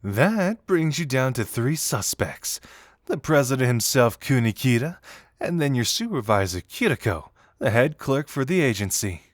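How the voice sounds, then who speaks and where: A man's voice: mid-range voice, big pitch swings, moderate pace, no room sound, clean studio sound, lightly acted. A man playing a playful, teasing young detective in an intimate audio roleplay, talking conversationally and with amusement straight to the listener. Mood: sly, musing.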